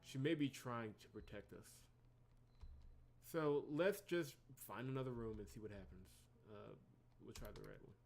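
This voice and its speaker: A man's voice: medium pitch, moderate pace, vocal fry, slight background noise. A man hosting a blind game playthrough, giving casual commentary and reading text aloud, often musing hesitantly as he trails off. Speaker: gaming host doing a blind playthrough.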